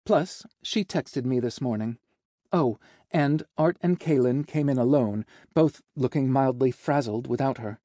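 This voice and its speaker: A man's voice: medium pitch, unhurried, dark tone, no echo, studio quality, lightly acted. A man voicing a cheerful, efficient female secretary with a teasing, matter-of-fact manner in an audiobook. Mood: frank, teasing. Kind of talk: audiobook character dialogue.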